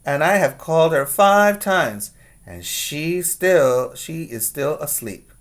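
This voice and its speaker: A man's voice: a little high, big pitch swings, takes it slowly, pitched above the performer's own voice. Guy doing an exasperated mom from a kids book, pleading and emphatic. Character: exasperated storybook mother trying to stay nice.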